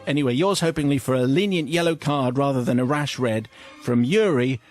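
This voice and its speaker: A man's voice: medium pitch, brisk, darkish tone, faint music behind. A man hosting a radio show, reading a listener's letter aloud and often breaking into laughter.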